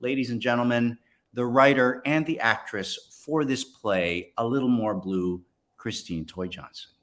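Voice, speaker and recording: An American man hosting a casual, friendly interview, speaking haltingly and repeating words as he forms his questions. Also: mid-range voice, a bit bright. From Ohio, United States. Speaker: interview host.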